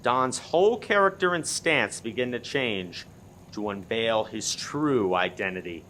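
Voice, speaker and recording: A man narrating a scripted comic murder mystery as animated storytelling, with noise in the background. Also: mid-range voice, a little slow. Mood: suspenseful. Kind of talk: scripted radio play narration.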